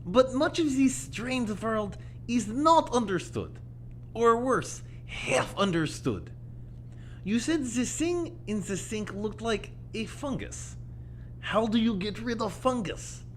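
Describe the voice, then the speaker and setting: Mysterious philosophical confidant, unhurried, very animated intonation, theatrical, cryptic, slight background hum. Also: female voice, a little high. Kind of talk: audio play acting.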